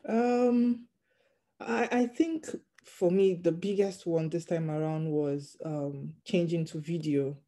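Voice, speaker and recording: A non-native English speaker, a doctor in training, talks through interview answers slowly, pausing often with ums. The voice is very dark and mellow, with a notably flat melody. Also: medium pitch. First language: not English. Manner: hesitant, frequent ums, measured. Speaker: GP trainee interviewed about passing an exam.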